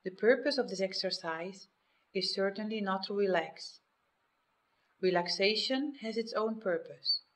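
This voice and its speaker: Female meditation guide, medium pitch, scripted instruction delivered in measured phrases with pauses.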